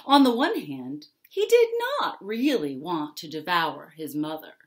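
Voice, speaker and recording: Picture-book narrator: woman, 40s, slow and expressive, dramatic pauses. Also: low-pitched. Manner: dramatic, with deliberate pauses and emphasis. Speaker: picture-book author reading aloud. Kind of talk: expressive children's story narration. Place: small furnished room at home, indoors.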